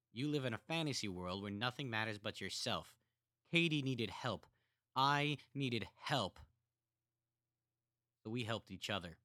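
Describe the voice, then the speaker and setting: A man voicing a young jailed killer who talks to his family with bitter contempt and builds to heated shouting. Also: fairly low pitch, no room sound, clean studio sound, pitched above the performer's own voice.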